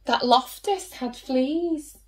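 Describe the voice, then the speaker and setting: A woman who teaches and hosts story time, reading a picture book aloud to children in an expressive way, drawing out key words and dropping into hushed asides. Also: high-pitched. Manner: slow, animated storytelling with drawn-out emphasis and hushed asides.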